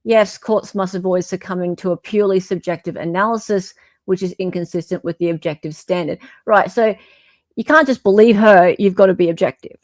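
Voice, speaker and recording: A woman's voice: lowish voice, brisk, darkish tone, dry recording. A woman co-host reading a document aloud informally and adding wry, mocking commentary.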